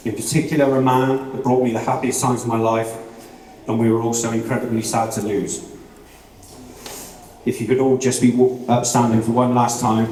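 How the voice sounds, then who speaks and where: A man's voice: mid-range voice, little pitch movement, moderate pace, darkish tone, slight background noise. A man in his thirties, the groom, giving a heartfelt wedding speech with dry jokes mixed in. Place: large reception room at a wedding. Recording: echoey hall, voice from far off.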